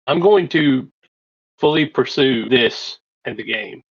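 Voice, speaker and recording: A man's voice: mid-range voice, animated, slow pace, no room sound. A man chatting casually in character as a tabletop game player, on lo-fi audio.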